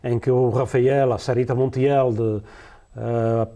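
This is a man in his sixties with a European Portuguese accent and a warm, mellow tone of middling pitch. He talks slowly and haltingly in a studio interview, with many fillers, restarts and easy laughs. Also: flat delivery, dull audio. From Azores, Portugal. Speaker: musician guest. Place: TV studio set, seated in a leather chair.

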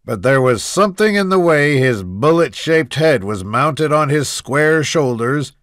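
A man playing a plainspoken Old West lawman in a dramatic reading, reminiscing in a measured, literary manner. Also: fairly high pitch, animated, fairly bright tone, no room sound, studio quality. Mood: admiring. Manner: measured, deliberate, literary storytelling. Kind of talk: dramatic reading of printed quotes.

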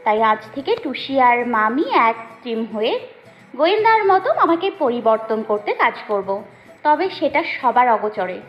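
A woman playing a kind, gently coaxing young wife in an audio story. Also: on the high side, big pitch swings, moderate pace. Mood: gentle, coaxing. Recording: slightly muffled, roomy, faint music.

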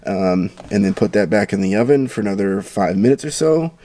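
A man's voice: lowish voice, lively intonation, fairly quick, dark tone. A man in his thirties giving casual, enthusiastic cooking tips with lots of ums, recorded close on a camera at arm's length. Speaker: home cooking vlogger. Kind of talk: casual cooking instructions and tasting. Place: parked car and home dining room.

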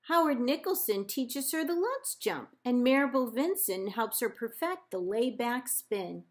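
A woman librarian reading a children's picture book aloud in measured, deliberate phrases with pauses. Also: on the high side, animated. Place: indoors, book held up to camera.

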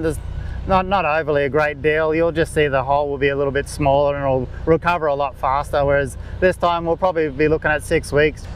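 A man, explaining golf green work in a relaxed way with many fillers, recorded outdoors on a course. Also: a little high, a little hiss or hum, rough recording. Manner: laid-back, lots of "like" fillers. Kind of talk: casual explanatory interview.

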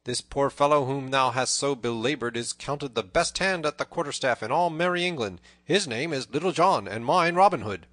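A man's voice: mid-range voice, expressive, moderate pace, fairly bright tone, no room sound, lightly acted. A man voicing a bold, merry, teasing outlaw leader with exuberant, old-fashioned storybook speech. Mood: hearty, curious. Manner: exuberant, mirthful archaic speech.

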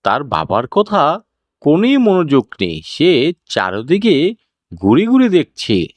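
A woman's voice: sits low, huge pitch swings, takes it slowly, a little mellow, no echo. A woman narrating a children's cartoon story in a scripted storytelling style.

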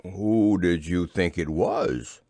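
A man's voice: sits low, slow, mellow, no room sound, voice pushed down, heavily acted. A man voicing a simple, friendly toy bear in a storybook, ponderous and earnest.

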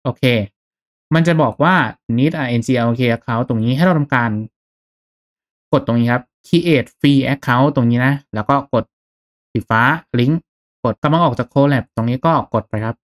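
A man narrating a step-by-step software tutorial in a casual, conversational, instructional way. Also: medium pitch, a little slow. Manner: instructional, conversational with polite particles. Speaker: tutorial host.

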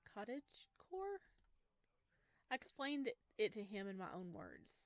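A woman's voice: medium pitch, wildly swooping pitch, moderate pace, dry recording. A woman hosting a gaming video, reading a visual novel aloud and adding casual commentary.

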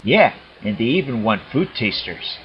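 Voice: mid-range voice, sing-song, normal speed, muffled recording, natural voice. A performer voicing a cocky, wisecracking male blue hedgehog hero in a fan dub of a cartoon, with music behind.

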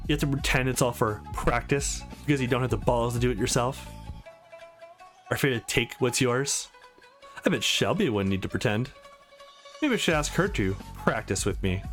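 A man's voice: mid-range voice, animated, normal speed, soft background music, close to an everyday voice. A man playing a cocky, boastful young man who taunts a sparring rival in a visual novel. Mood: taunting.

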